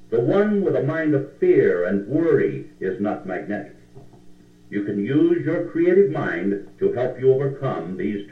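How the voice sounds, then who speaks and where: A man reading scripted motivational self-help advice in a deliberate, instructional manner on an old cassette recording. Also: medium pitch, recorded from a distance. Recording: tinny, band-limited, echoey 1960 cassette recording.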